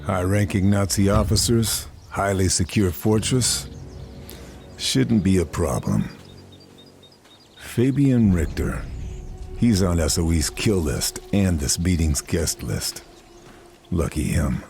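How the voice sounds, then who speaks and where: Game role: hard-bitten wartime sniper agent, extremely deep voice, terse and confident delivery, faint music underneath. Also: male voice, lively intonation, slow, vocal fry, pauses often, darkish tone, exaggerated voice. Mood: dry, cocky. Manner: terse, dry, self-assured. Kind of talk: scripted video game mission narration.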